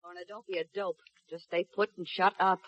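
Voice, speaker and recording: A woman's voice: fairly low pitch, level tone, a little fast, fairly bright tone. An actress playing a tough, impatient gangster's girlfriend with scolding, slangy talk in 1950s radio drama style. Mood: menacing, impatient. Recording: dull, muffled 1950s radio recording.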